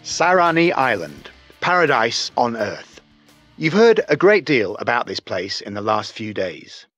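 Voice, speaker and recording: A man playing a composed, solemn TV news anchor with formal, measured delivery in a video game. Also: medium pitch, sing-song, a bit bright, faint music behind. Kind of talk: scripted newscast in a game cutscene.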